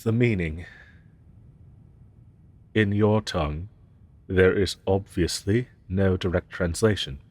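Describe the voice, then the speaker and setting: Man playing a slow, very deep haughty dragon professor. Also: a little mellow. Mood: lofty, patient. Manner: archaic, pompous, ornate formal phrasing. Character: haughty male dragon professor, formal, grandiose, secretly tender. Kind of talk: scripted romantic fantasy audio roleplay.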